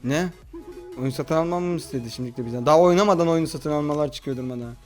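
A man hosting a horror game playthrough in slangy, excitable, casual chat, often addressing his viewers. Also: a little high, quick pace, faint music behind. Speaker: gaming video host.

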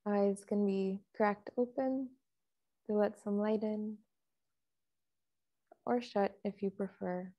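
A woman leading a guided meditation as a teacher, speaking in a calm, measured, softly instructive way with long pauses. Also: medium pitch, highs cut off.

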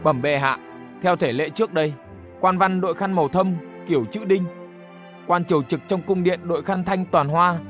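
A man's voice: high-pitched, moderate pace, music in the background, natural voice. A man voicing a diligent, deferential court official in a historical cartoon, reporting formally, precisely and respectfully.

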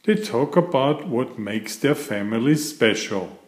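A man reading aloud in a deliberate, expressive children's storytelling style, as a narrator and host. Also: medium pitch. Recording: roomy, echoing room sound.

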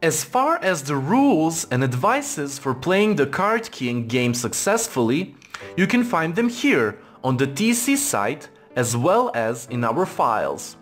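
A man reading a scripted instructional narration in a formal, measured manner. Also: on the high side, very animated, soft background music. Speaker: tutorial narrator. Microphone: voice-over for screen recording.

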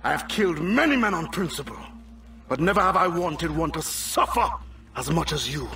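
A contemptuous, vengeful assassin in a game cinematic. The voice runs high and forceful, swinging widely with intensity. It sounds somewhat distant, with formal, cutting phrasing. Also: male voice, expressive, normal speed, a little hiss or hum. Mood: cold fury. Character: vengeful assassin confronting a slave-owning tyrant. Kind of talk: cinematic video game acting.

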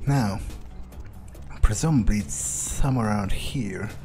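A man narrates a game in character, thinking out loud in hesitant musings. His voice is dark and mellow, with faint music playing behind him. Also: on the low side, measured pace. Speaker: player of a video game playthrough, speaking in character. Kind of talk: in-character roleplay gameplay narration, thinking aloud.

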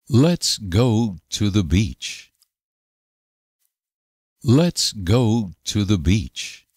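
A man reading short example sentences for language learners with deliberate, careful enunciation. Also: fairly low pitch, breathy edge, no room sound, studio quality. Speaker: language teacher.

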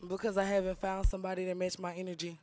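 A woman's voice: on the low side, flat delivery, fast, warm tone, slight background noise. Combative young woman on a dating show. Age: early 20s. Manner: combative, profane, sassy. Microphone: handheld mic.

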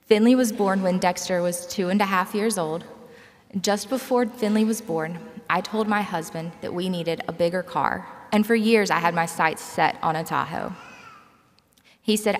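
A woman, giving a measured, prepared commencement speech and telling personal stories from a podium. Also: fairly low pitch, fairly even intonation. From South Carolina, United States. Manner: measured, scripted personal storytelling. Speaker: graduate student commencement speaker. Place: large auditorium stage. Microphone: podium gooseneck microphone. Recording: reverberant large hall, podium microphone.

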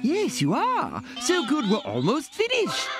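A man voicing an exasperated, brainy gorilla sidekick in a cartoon, dry and sarcastic, over loud music. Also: very high voice, wildly swooping pitch, unhurried, heavily acted. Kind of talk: cartoon voice acting.

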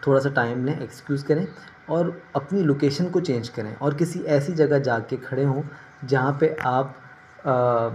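A middle-aged man explains things informally to the camera at a medium pitch. He speaks in measured phrases with pauses, picked up by a phone in a small room with faint ambient noise behind him. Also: darkish tone. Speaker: career advice vlogger. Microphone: phone at arm's length. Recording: noticeable ambient background noise.

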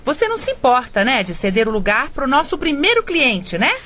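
A woman's voice: high voice, big pitch swings, brisk, bright, forceful tone, exaggerated voice. An actress playing an ambitious wife who coaxes her husband in a radio drama. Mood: coaxing. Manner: coaxing, wheedling. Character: scheming ambitious wife and cook.

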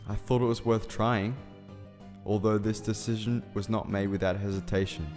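Guy doing awkward lovestruck scientist in a radio play, deep-ish voice, formal and halting, music behind. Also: little pitch movement, darkish tone, close to an everyday voice. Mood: earnest explaining. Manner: hesitant, formal, earnest. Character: earnest, awkward male scientist, shyly in love. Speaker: voice actor in a fan radio play. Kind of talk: fan radio drama acting. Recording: reverberant hall sound with music underneath.

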